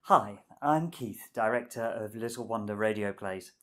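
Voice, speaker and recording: A man's voice: on the low side, moderate pace, a bit bright. A man speaking as director and presenter, delivering a scripted outro announcement.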